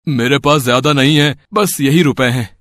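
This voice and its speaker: A man's voice: mid-range voice, normal speed, fairly bright tone, dry recording, clean studio sound, natural voice. A man playing a shopkeeper and father with plain, earnest delivery in an amateur moral story. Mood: pleading. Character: greedy shopkeeper turned anxious, pleading father.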